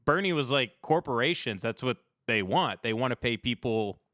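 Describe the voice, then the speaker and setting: A man's voice: medium pitch, animated, normal speed, a bit bright, dry recording, clean studio sound. A man chatting casually on a livestream talk show, speaking informally with slang and swearing.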